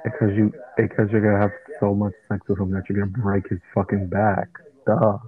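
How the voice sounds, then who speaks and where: A man co-hosting a casual chat podcast, talking loud in a flat monotone. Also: lowish voice, brisk. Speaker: podcast co-host. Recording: tinny phone-like line.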